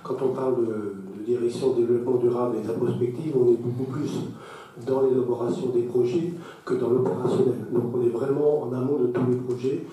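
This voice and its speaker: A man with a very dark, muted voice explains at a formal meeting, repeating phrases as he goes. He sounds distant in a highly reverberant hall. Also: steady tone, a little fast. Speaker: council official answering questions. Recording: very echoey hall, distant mic.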